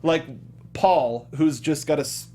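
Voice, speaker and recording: A man with a fairly high voice hosts a casual film discussion. He recounts things like an excited storyteller, slipping into little acted reactions as he goes. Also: measured pace. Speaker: podcast host. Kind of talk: casual chat recapping a film.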